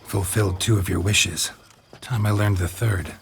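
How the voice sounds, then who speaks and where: An actor playing a stoic, world-weary monster hunter in a video game, speaking in a terse, deadpan manner. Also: male voice, on the low side, normal speed, gravelly, a little hiss or hum. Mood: dry, wary. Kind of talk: dramatic video game voice acting.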